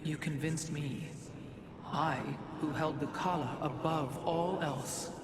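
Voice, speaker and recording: An actor playing a solemn, haunted, devout alien warrior in a science fiction game, speaking formally and gravely. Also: medium pitch, fairly even intonation, takes it slowly, background noise, close to an everyday voice. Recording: echoing, with cinematic score behind.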